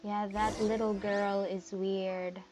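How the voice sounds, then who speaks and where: A woman's voice: medium pitch, little pitch movement, slow pace, over music. A woman hosting a game playthrough, reacting casually and informally to what happens. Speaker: gamer, playthrough host. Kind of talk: casual reactions while playing, reading dialogue along.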